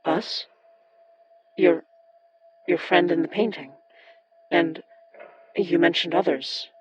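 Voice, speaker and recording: A man playing a determined, guarded occult investigator in a horror audio drama, with a measured, probing delivery. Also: a little high, fairly even intonation, husky, lo-fi sound, soft background music, heavily acted. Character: determined, guarded young occult investigator who summons spirits.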